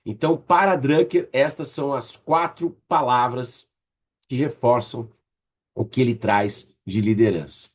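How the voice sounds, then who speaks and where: A man's voice: mid-range voice, a little slow. A man with a Brazilian Portuguese accent giving a conversational leadership lecture, addressing his group directly and checking in with short tag questions in a didactic way. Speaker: leadership trainer.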